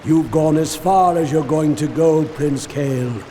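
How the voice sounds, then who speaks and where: An actor playing a gruff, weary human warden, menacing and patronising, in a fantasy game. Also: male voice, on the high side, measured pace, warm tone, natural voice. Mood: threatening. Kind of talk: dramatic fantasy game acting. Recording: faint background noise.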